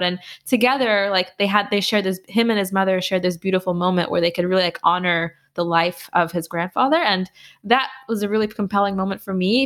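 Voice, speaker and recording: A young woman answering interview questions casually, telling animated stories with lots of "like" fillers. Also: mid-range voice. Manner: animated storyteller with frequent "like" fillers and laughs. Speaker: podcast host and finance blogger, interview guest.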